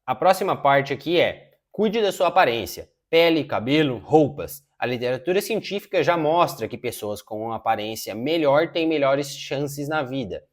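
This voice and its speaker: A man of thirty, giving casual self-improvement advice, informal, with frequent filler pauses. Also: mid-range voice, expressive. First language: Portuguese. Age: 30s. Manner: informal, says "cara" a lot, many filler "é" pauses. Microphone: wireless lapel mic.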